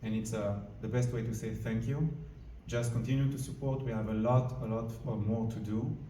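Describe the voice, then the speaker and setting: A man's voice: mid-range voice, steady tone, normal speed, mellow, a little hiss or hum. A man, a diplomat giving an earnest, informal speech to a live audience in a large community hall. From France. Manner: earnest, informal, searching for words. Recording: roomy hall, mic at a distance.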